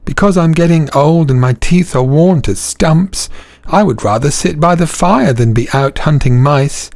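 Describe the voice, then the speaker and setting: A man's voice: a little high, a little slow, a little mellow, dry recording, pitched above the performer's own voice. A man doing a gloomy old cat. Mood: miserable. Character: miserable old cat with worn teeth.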